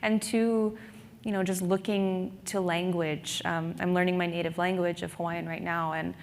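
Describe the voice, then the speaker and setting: A woman speaking on a writers' panel, reflective and halting, with many fillers. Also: lowish voice, little pitch movement, big-room sound. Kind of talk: panel discussion. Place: conference stage.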